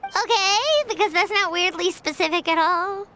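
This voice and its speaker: A woman voicing a tiny, childlike, bubbly companion creature in a video game, squeaky, chirpy and expressive. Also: moderate pace, a little hiss or hum. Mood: puzzled. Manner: chirpy, expressive, refers to self by name. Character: tiny childlike floating companion, bubbly, food-loving, third-person talker. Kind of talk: English video game voice acting.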